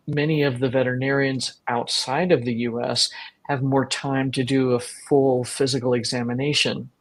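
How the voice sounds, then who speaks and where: Woman host, pet health interview; slow, measured, earnest delivery. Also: a bass voice. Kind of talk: video interview chat.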